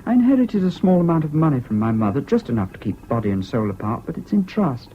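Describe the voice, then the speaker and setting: A dull, slightly hissy old radio play recording. a young English university student, whimsical and flippant, with a fairly high voice that swoops about freely. He is an impulsive, evasive charmer who chats lightly. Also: very animated, fast talker. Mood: eager, whimsical. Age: young adult. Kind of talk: 1970s British radio drama acting.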